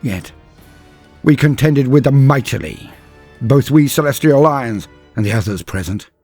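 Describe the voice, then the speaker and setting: A man playing a grave, embittered space-marine veteran, solemnly narrating a dramatic war story in a husky voice with deliberate, heavy pauses. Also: mid-range voice, animated, darkish tone, faint music behind, pitched above the performer's own voice. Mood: proud, defiant. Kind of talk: dramatic scripted first-person story narration.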